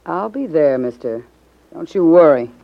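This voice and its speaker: A woman's voice: low voice, expressive, measured pace, vocal fry, heavily acted. An actress playing a tough, scheming, resentful gangland woman, hard-boiled and slangy, in a 1950s radio drama. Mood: angry, threatening. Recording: muffled 1950s radio recording.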